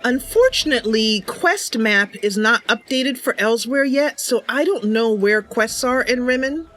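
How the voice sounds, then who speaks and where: A woman's voice: a little high, expressive, moderate pace, a bit bright, faint music behind, poor audio. A woman hosting a roleplay game livestream, chatty and welcoming, switching between casual commentary and narration. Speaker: streamer, roleplay game host.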